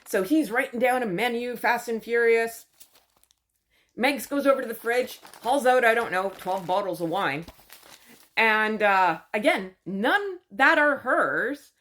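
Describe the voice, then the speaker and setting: A woman's voice: a little high, animated, unhurried, a bit bright. A woman host giving a chatty, sarcastic recap of a show, full of wry asides. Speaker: channel host.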